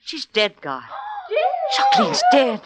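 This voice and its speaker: A woman's voice: high-pitched, huge pitch swings, slow, heavy background noise, heavily acted. Emotive, swooping woman prisoner. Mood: distraught. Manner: dramatic, heartfelt. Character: earnest, motherly imprisoned woman consoling a young cellmate. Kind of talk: 1940s radio drama acting.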